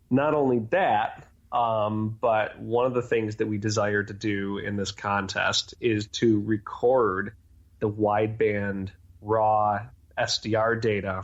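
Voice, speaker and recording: A man being interviewed as a guest, explaining things in technical detail. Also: on the low side. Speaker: interview guest, contest organizer. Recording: dull, lo-fi band-limited audio.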